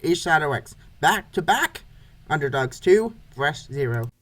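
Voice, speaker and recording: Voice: mid-range voice, big pitch swings, a little slow, fairly bright tone. A baseball play-by-play announcer reading scripted commentary for a comic dub in short, clipped phrases.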